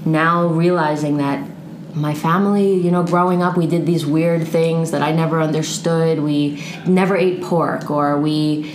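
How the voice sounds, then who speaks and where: A young woman with a low voice tells her story in an easy, chatty way, laughing now and then. She is recorded in an echoey room with a faint hiss behind her. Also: fairly even intonation, measured pace. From Pennsylvania, United States. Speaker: guest. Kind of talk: casual interview.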